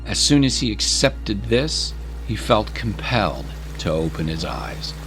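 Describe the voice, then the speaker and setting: Male narrator, lowish pitch, very varied intonation, measured storytelling, light music behind. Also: sing-song, fairly bright tone.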